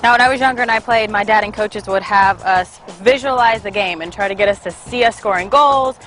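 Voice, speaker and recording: A woman's voice: medium pitch, a little fast, fairly bright tone, faint music behind. A young woman hosting a sports interview, bubbly and chatty as she introduces her guest and asks questions. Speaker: interviewer and host.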